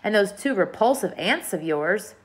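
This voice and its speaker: A woman reading aloud as a kind, gentle female ladybug in a storybook. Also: mid-range voice, animated, moderate pace, slightly rough.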